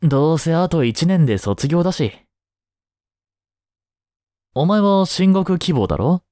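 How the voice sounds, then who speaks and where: A man acts out a kind, easygoing male teacher in an intimate voice drama. His voice is mellow and relaxed, and he talks slowly and reassuringly to one student. The recording is close and dry. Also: a little high, no room sound. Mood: reassuring, encouraging. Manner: gentle, unhurried, casual, reassuring with long pauses. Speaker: voice drama performer. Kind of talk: Japanese voice drama, one-sided intimate talk to the listener.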